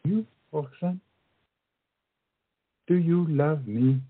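An actor playing a proud, lovelorn officer and poet, speaking formally in a romantic audio drama. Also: male voice, a little high, extremely slow, slightly rough, exaggerated voice. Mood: heartbroken. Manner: formal, declamatory. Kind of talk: romantic comedy stage-style audio drama. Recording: muffled lo-fi audio, music underneath.

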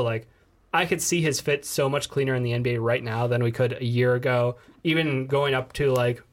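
A man's voice: medium pitch, fairly bright tone. A man co-hosting a casual sports podcast, talking in a loose, slangy, rambling way. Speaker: podcast co-host.

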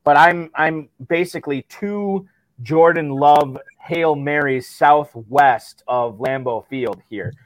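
A friendly male co-host with a fairly high voice. He asks questions casually, restarting his phrases as he goes. The recording is dry and close. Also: takes it slowly, no room sound, low-quality recording. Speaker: podcast co-host. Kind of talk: casual interview hosting.

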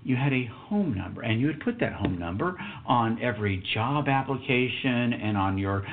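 A man's voice: mid-range voice, animated, moderate pace, slight background noise. A man in his fifties from Texas hosting a solo podcast, telling a story in a reflective, emphatic way and dropping to a whisper for asides. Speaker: podcast host. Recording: tinny phone-like sound.

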